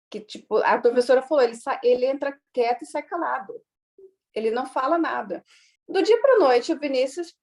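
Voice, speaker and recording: A woman from Brazil talks as an interview guest, her voice sitting a little higher than most. She chats loosely, leans on fillers, and acts out the dialogue she quotes. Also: darkish tone. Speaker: guest, immigrant mother. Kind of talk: casual interview storytelling.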